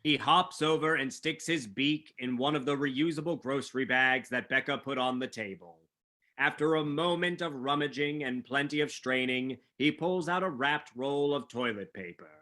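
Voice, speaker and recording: A man reads scripted stage directions as narrator. His voice is mid-pitched, with a crisp, bright edge.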